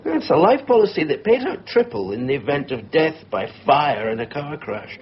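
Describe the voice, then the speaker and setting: A man's voice: a little high, sing-song, moderate pace. An actor in a radio drama playing a hesitant, guarded insurance salesman who keeps his feelings private. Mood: patient, teasing. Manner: hesitant, evasive. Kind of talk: 1990s radio drama acting. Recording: muffled, noisy radio drama recording.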